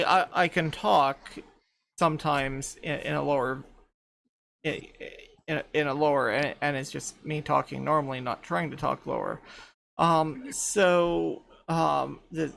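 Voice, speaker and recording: A woman in her thirties in casual group chat, light voice without chest resonance, talking haltingly with many restarts. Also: low-pitched, gravelly, fairly bright tone, a little hiss or hum. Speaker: podcast panelist.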